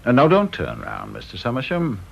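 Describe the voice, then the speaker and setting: Gravelly, sardonic British official. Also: male voice, medium pitch, wildly swooping pitch, heavily acted. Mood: mock-polite needling. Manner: dry, mock-polite, deliberately unsettling. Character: needling, coolly sardonic senior official on an interview panel. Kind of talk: British radio drama acting. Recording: dull old radio recording.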